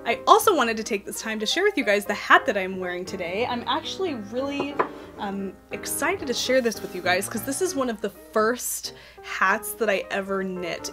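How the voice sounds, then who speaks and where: A woman in her thirties hosting a knitting podcast, chatting casually to the camera at home, a little nasal from a cold, with lots of ums and light laughs. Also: on the high side. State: has a cold, slightly nasal. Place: cozy home office with string lights.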